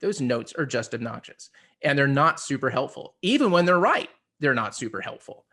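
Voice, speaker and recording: A man's voice: mid-range voice, sing-song, moderate pace, sharp tone. A man co-hosting a podcast, talking in an opinionated, casual way with blunt, emphatic, sometimes profane phrasing. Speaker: podcast co-host. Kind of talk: opinionated casual panel discussion.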